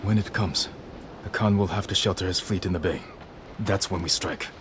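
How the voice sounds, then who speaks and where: Low-voiced, grave samurai warrior. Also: male voice, fairly bright tone, a little hiss or hum. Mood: grim determination. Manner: terse, solemn, measured. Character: grave, resolute male samurai warrior plotting battle. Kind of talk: video game cutscene acting.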